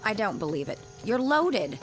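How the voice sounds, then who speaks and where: Woman as exasperated wife, medium pitch, sarcastic, domestic radio drama, music underneath. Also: big pitch swings, moderate pace, lightly acted. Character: exasperated wife, sarcastic, weary of drunken guest.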